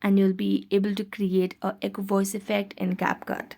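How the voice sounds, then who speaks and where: Woman narrating a tutorial, mid-pitched. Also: monotone, normal speed, warm tone. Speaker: tutorial host. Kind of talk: scripted step-by-step app tutorial narration.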